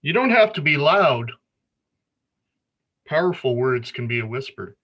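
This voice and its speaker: A man reading a children's picture book aloud in an expressive storytelling manner. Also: mid-range voice, measured pace, dull audio. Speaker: story reader.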